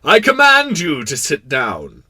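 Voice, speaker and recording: A man voicing a proud, kindly but pompous king in a storybook, making imperious declarations with drawn-out words. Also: on the high side, huge pitch swings, pushed and bright, voice pushed up, full character voice. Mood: grandly commanding. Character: proud, kindly, pompous absolute monarch of a tiny planet.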